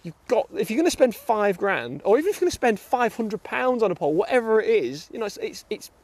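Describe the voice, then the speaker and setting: A man's voice: sits high, sing-song, quick pace, darkish tone. A middle-aged British man chatting enthusiastically about fishing tackle outdoors on a lake bank, often repeating words as he starts a sentence. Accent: British English. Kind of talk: casual chat.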